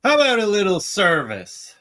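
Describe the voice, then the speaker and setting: A man's voice: high-pitched, wildly swooping pitch, moderate pace, bright, forceful tone, heavily acted. A man playing a smug, bullying deputy in an Old West style amateur radio play. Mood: smug, menacing.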